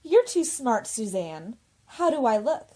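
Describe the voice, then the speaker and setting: A woman's voice: fairly high pitch, sing-song, unhurried, mellow. A woman acting a sweet, vibrant young woman in love in a theatrical radio play. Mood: delighted.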